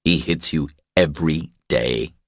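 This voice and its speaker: A man voicing a big, strong, skeptical drifter in an audiobook, speaking in blunt, flat, clipped statements. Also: a bass voice, unhurried, no echo, clean studio sound. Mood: skeptical, matter-of-fact.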